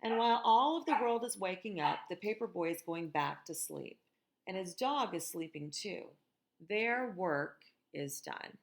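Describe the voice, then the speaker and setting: An American woman reading a picture book aloud to children in a gentle, expressive teacher's manner, with conversational asides. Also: lowish voice, a little slow, creaky, slight background noise. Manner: gentle, expressive, asks listeners questions.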